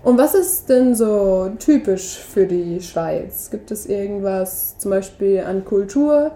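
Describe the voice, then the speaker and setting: A woman's voice: mid-range voice, animated, slow pace, mellow. A woman from Germany hosting a casual interview, asking encouraging questions with fillers.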